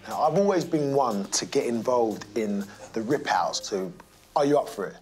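A man's voice: medium pitch, expressive, moderate pace, darkish tone, soft background music. A man co-presenting a renovation show, chatting on site in an encouraging, upbeat way. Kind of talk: casual on-site chat.

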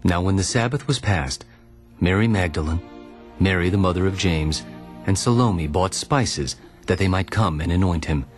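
Very deep-voiced male scripture narrator. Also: normal speed. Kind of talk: solemn dramatized scripture narration. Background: music.

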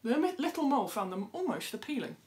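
Young adult woman, children's storyteller, expressive read-aloud with very animated intonation. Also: fairly high pitch, fast. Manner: expressive, acts out dialogue. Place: plain indoor room at home.

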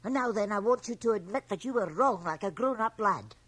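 A middle-aged woman playing a stern, domineering, scolding small-town mother with a husky voice in a radio drama. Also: medium pitch, lively intonation, a little fast. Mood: stern, appalled. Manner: scolding, imperious. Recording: 1980 radio drama from home cassette transfer.

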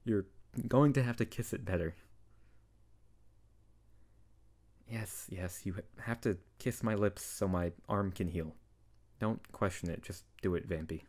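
Casting: male actor as deep-voiced wizard boyfriend, tsundere, teasing yet tender, for intimate ASMR roleplay. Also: normal speed, a little mellow. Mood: playfully whiny.

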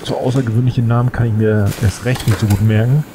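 Chatty German gamer with a cold. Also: male voice, mid-range voice, fairly even intonation, quick pace, background noise. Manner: rambling, chatty, thinks aloud. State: has a cold. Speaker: let's play gamer. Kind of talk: casual gameplay commentary.

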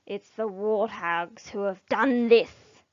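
A woman voicing an upset, demanding jungle animal in a storybook, loud and wailing. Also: mid-range voice, takes it slowly, no room sound, highs cut off, lightly acted. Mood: indignant. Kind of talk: read-aloud children's storybook.

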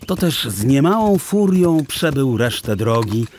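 A man narrating a children's story in a theatrical, deliberate, expressive literary style. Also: mid-range voice, a little hiss or hum. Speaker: audiobook narrator.

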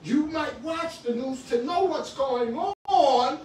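A middle-aged man preaching a sermon in a small church, swinging from shouts to quiet talk with many restarts. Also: very high voice, takes it slowly, slight background noise. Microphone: far camera. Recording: echoey room, far from the camera.

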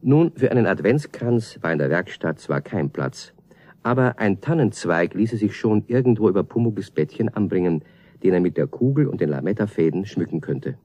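A man's voice: low voice, lively intonation, normal speed, warm tone, no echo. A man narrating a scripted children's radio play as its storyteller.

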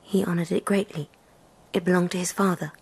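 An actress playing an anxious, defensive lady of the court in a radio drama, breathy and guarded. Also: fairly low pitch, steady tone, brisk, a little mellow. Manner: formal, guarded.

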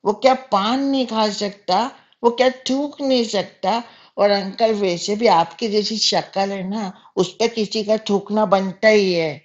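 A man's voice: high-pitched, unhurried, dull audio, voice pushed up. A man voicing an insolent young boy who makes taunting, mock-polite prank calls in a comedy sketch.

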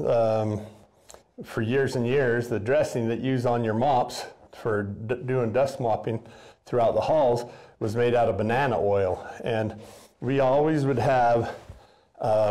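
An older man walks and talks through a boiler room, rambling in a relaxed, folksy way. He fills his talk with "uh" and "um" and restarts his sentences often, like a former custodian sharing memories on a casual tour. The sound is picked up in a basement by a camera that follows him. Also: lowish voice. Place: basement boiler room of an old school building.

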